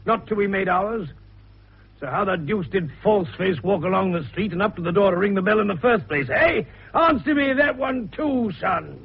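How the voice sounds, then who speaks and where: A shrewd older detective doctor in a radio drama. His voice is gravelly and a little high, and he questions in a genial, teasing, avuncular way. The recording is dull, with faint hiss. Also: animated, moderate pace. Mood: emphatic, probing. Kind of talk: British radio drama acting.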